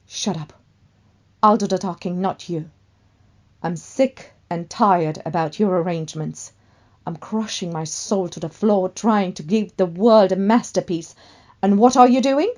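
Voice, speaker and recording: A woman's voice: medium pitch, darkish tone. A woman playing a vain, hot-tempered famous actress in a radio play, with a melodramatic, haughty manner. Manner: melodramatic, haughty, swinging from gushing to scolding. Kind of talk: one-sided radio play dialogue.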